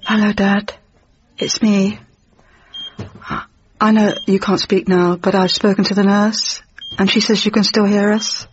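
An actress playing an earnest grown-up daughter speaking hesitantly and plainly at a bedside in a comedy sketch. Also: female voice, medium pitch, level tone, a little slow, long pauses, lo-fi sound, a little hiss or hum, natural voice. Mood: earnest, gentle.

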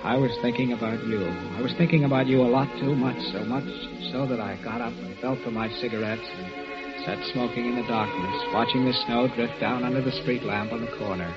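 A man's voice: mid-range voice, fairly quick, close to an everyday voice. An actor playing a devoted, lovesick man in a 1940s radio drama, narrating in an earnest, reflective first person. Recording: dull old radio recording with music.

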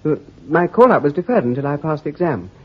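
A flustered young officer, stutters, eager, pitch all over, muffled old radio sound. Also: male voice, very animated, fast talker. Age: 20s. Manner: stammering, hesitant, deferential, says sir constantly. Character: bumbling, stammering young army lieutenant, eager and nervous. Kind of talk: radio drama acting. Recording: dull lo-fi radio recording.

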